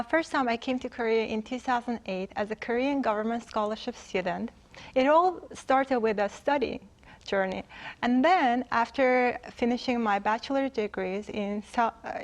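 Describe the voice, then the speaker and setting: A woman in her thirties from Azerbaijan, an English speaker, answering interview questions hesitantly and restarting phrases. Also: on the high side. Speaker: interview guest.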